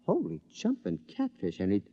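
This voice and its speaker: A man's voice: low-pitched, wildly swooping pitch, normal speed, warm tone, breathy edge, no echo, muffled recording, heavily acted. An actor playing a nervous, dithering married man in a 1950s radio drama, anxious, stammering and easily shocked.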